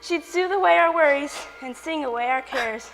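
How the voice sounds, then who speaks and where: A woman's voice: sits high, moderate pace, a bit bright, soft background music. A woman playing a devoted, earnest grown daughter with a dramatic streak, delivered theatrically for a stage comedy. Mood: sentimental. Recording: echoey hall with long reverb.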